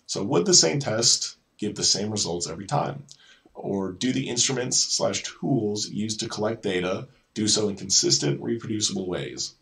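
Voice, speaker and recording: A man's voice: on the low side, animated, normal speed, gravelly, fairly bright tone, distant mic. A man presenting an educational video, reading lesson notes in an informal way and often correcting himself with casual asides. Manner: informal, self-correcting, repeats words.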